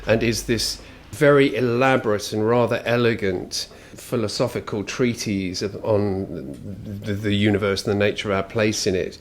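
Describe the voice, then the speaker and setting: British bloke chatting thoughtfully, voice a bit on the low side. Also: male voice, steady tone, moderate pace, hardly any pauses, slight background noise. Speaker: commentator. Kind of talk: reflective conversation.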